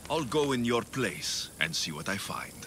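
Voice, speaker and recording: An actor playing a tough, blunt hero with a dry, commanding manner in a video game cutscene. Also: male voice, mid-range voice, big pitch swings, moderate pace, fairly bright tone, slight background noise. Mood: firm. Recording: music under the voice.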